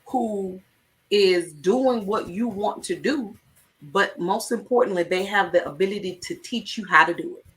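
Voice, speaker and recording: A woman's voice: mid-range voice, bright, forceful tone, recorded from a distance, rough recording. A woman hosting a live talk show, giving emphatic numbered tips in a teaching tone. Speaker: host. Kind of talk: motivational live-stream talk.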